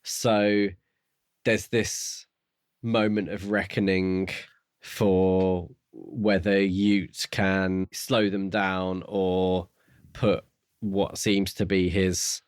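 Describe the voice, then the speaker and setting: A man with a fairly low voice chats as a podcast co-host about a book. He muses tentatively, feeling his way through theories. The recording is very dry and free of echo. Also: level tone, extremely slow, clear, resonant tone. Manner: tentative, musing, theorising aloud. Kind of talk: casual book discussion.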